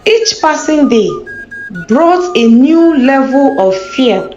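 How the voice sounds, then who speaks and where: A woman storyteller reading a scripted folktale in a measured, careful way, in a dull, echoing lo-fi recording. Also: high-pitched, lively intonation, a little mellow. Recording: dull lo-fi sound, roomy echo. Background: background music.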